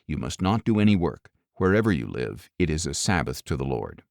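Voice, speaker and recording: A man reading scripture as an audiobook narrator, in a measured, solemn, clearly enunciated way. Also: a bass voice, sing-song, a little mellow, no room sound, clean studio sound.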